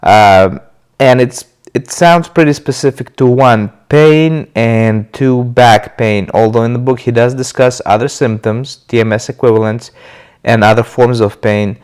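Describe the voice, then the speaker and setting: A man's voice: medium pitch, unhurried, smooth. Stuffy-voiced man talking casually. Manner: relaxed, loose, with many uh fillers and restarts. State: stuffy from a cold. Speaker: video host sharing his recovery. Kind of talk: casual talk to camera.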